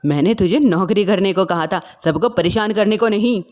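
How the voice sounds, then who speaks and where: A woman's voice: mid-range voice, normal speed, darkish tone, voice pushed down, lightly acted. A woman voicing a stern older mother-in-law who scolds and gives orders in a bossy, commanding way.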